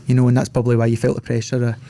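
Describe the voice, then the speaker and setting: A man's voice: medium pitch, monotone, racing, dark tone. A young man with a Scottish accent hosting a podcast, chatting in a loose, rambling way full of fillers. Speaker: podcast host. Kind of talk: casual interview chat.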